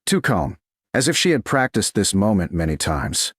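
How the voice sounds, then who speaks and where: A male audiobook narrator tells a romance in a low voice. His phrasing is dramatic and deliberate, with lively pitch movement, and the recording is very dry. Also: slightly rough, no echo. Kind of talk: scripted romantic story narration.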